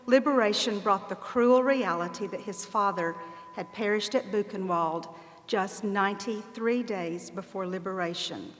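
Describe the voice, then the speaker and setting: A woman reading scripted historical narration as a presenter, in a measured, formal way. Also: mid-range voice. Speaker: narrator and presenter at a commemorative event. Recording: reverberant hall.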